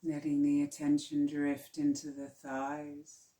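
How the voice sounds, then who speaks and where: A woman teaching yoga nidra, guiding relaxation in a calm, soothing, hushed way with long pauses. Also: deep voice, reverberant. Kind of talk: slow guided relaxation meditation.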